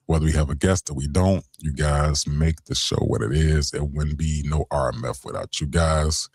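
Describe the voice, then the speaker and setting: A young adult man, hosting a late-night radio show in a laid-back, conversational way and giving shout-outs. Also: gravelly, slightly rough, dry recording, clean studio sound. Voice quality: deep voice.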